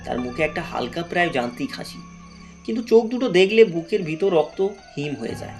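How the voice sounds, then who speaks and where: A man's voice: fairly high pitch, expressive, a little fast, music in the background. A man narrating a horror story in a dramatic, scripted storytelling style.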